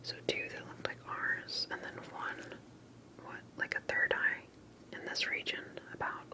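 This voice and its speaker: A woman speaking in a soft, breathy whisper, gently and carefully asking questions in an ASMR interview roleplay. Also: unhurried, rough recording.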